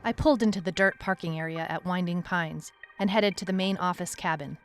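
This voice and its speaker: A woman's voice: on the low side, a little fast, very noisy, lightly acted. A woman playing a wry, anxious detective who narrates her own case in a noir-like audio drama. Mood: wry, uneasy. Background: music.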